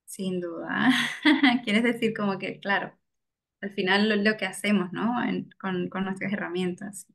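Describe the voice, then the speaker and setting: A young woman with a Venezuelan accent speaks as a podcast guest, reflecting openly and filling pauses with "bueno" and soft laughs. Her voice is middle-pitched and even. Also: gravelly. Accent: Venezuelan Spanish. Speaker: guest, data scientist. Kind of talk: casual interview.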